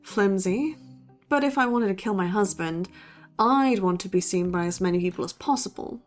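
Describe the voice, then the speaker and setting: A woman acts as a skeptical young college student co-hosting a true-crime podcast in an audio drama. Her voice sits at a middle pitch, with a dry, sardonic and analytical manner. Music plays faintly underneath. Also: moderate pace, lightly acted. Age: young adult. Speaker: podcast co-host. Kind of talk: scripted banter in a horror audio drama.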